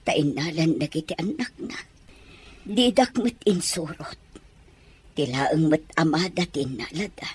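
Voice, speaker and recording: A woman's voice: sits low, measured pace, a little mellow, husky, full character voice. A woman playing an ailing, rejected and regretful mother in a radio-style drama. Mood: regretful, weary. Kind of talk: Ilocano radio drama acting.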